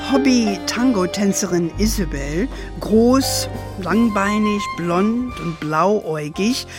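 A woman narrating a German children's radio play in a storytelling style. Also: medium pitch. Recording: music under the voice.

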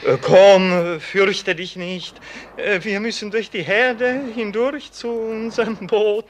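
A man's voice: high-pitched, steady tone, slow. An actor playing an anxious, indulgent young boyfriend in a German radio play, hesitant and soothing as he tries to reassure. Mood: coaxing. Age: young adult. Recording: noisy radio play with outdoor ambience.

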